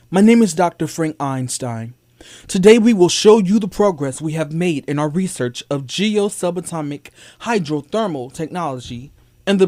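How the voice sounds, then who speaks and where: A man playing a high-voiced, grandiose mad scientist. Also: mellow, close to an everyday voice. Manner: formal, grandiose, theatrical. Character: ambitious, volatile male mad scientist, grandiose showman. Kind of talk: old-time radio drama acting.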